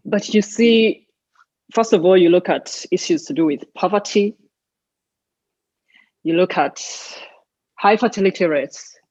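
A woman's voice: on the low side, a little slow, long pauses, darkish tone, husky, no room sound, dull audio. A young woman from Uganda with a Ugandan accent, talking earnestly as an interview guest, often adding "you know". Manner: earnest, emphatic, with frequent "you know"s and laughs. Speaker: guest, environmental advocate. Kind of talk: casual interview talk.

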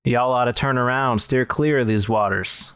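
A man's voice: mid-range voice, normal speed, a bit bright, clean tone, dry recording, close to an everyday voice. A man as a stubborn backwoods boatman, close and dry. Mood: wary. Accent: rural Southern US. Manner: wary, drawling, folksy. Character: stubborn folksy backwoods paddle boat renter, man.

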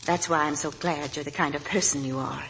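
An actress playing a genteel, blunt, anxiously devoted middle-aged mother, gracious and deliberate, in a 1940s radio drama. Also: sits low, steady tone, a bit bright. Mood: uneasy, pleading. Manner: gracious, deliberate, hesitant when uneasy. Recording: noisy vintage 1940s radio broadcast.

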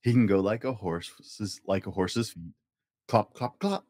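A man reading a rhyming children's picture book aloud in a playful, expressive way, as a storytime host. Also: on the low side, a little slow, no room sound. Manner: playful, acts out animal and object sound effects.

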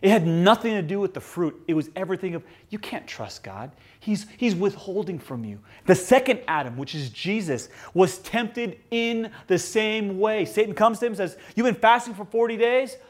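A young adult man preaching a sermon as a pastor, telling stories with emphasis and swinging between loud lines and hushed ones. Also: high voice, animated, normal speed, few pauses. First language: English.

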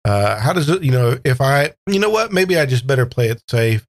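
A man with a mid-pitched voice plays a tabletop game. He chats casually, working out his moves aloud. His delivery is hesitant and playful. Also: animated, brisk.

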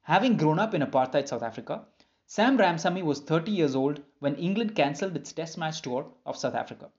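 A man narrating a documentary script in an even, informative way. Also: a little high, brisk. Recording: dull lo-fi sound.

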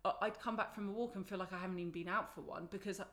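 A woman's voice: mid-range voice, fairly even intonation, racing, a bit bright. A young woman, chatting enthusiastically as a podcast guest, often restarting her sentences. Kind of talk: casual conversation.

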